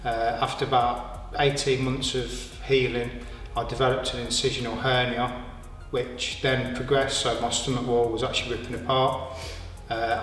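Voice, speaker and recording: Flat-voiced man in his fifties, echoey. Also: mid-range voice. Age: 50s. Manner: plain, matter-of-fact, with many "uh" fillers. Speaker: client giving a testimonial. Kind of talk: interview testimonial. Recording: echoey hall, from far off.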